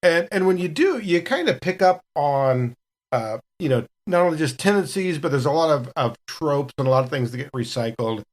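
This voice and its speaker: A man's voice: on the high side, sing-song, moderate pace. A middle-aged man, a veteran gamer on a podcast, chatting casually in a folksy, rambling way. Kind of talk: casual group chat.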